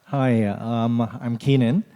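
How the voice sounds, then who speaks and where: A man from Hong Kong, an entrepreneur, introducing himself on a conference panel in a relaxed, conversational way. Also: medium pitch, slow, clear, resonant tone. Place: conference hall.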